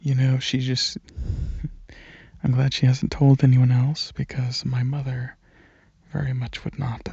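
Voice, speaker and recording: A young man speaks very breathily and softly in a casual ASMR ramble, hesitating and drifting into whispers. His delivery is slow and fairly flat in pitch. He is close to the microphone, with a slightly dull recording. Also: dry recording. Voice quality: breathy, soft. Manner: hesitant, halting, slipping into whispers. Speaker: ASMR video creator and channel host. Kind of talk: soft-spoken ASMR ramble, casual personal chat.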